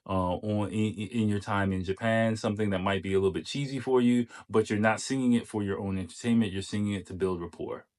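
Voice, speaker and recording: A man with a deep bass voice, giving dating tips as host in a chatty, coaching way. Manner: chatty, coaching, many fillers. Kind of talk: casual commentary on a clip.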